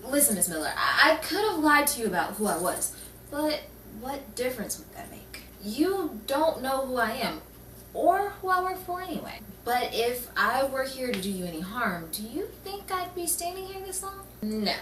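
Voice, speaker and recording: A woman's voice: high-pitched, big pitch swings, moderate pace, a bit bright. A woman playing a persistent, coaxing newspaper reporter in an improvised noir radio play. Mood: insistent, reassuring. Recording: roomy, distant mic with faint noise.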